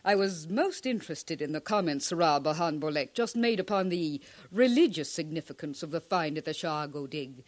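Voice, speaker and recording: Animated, precise lady scientist. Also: sits low, normal speed, few pauses. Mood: confident, lecturing. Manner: precise, formal lecturing. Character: woman scientist, learned dean, confident and lecturing. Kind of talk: 1950s radio drama acting.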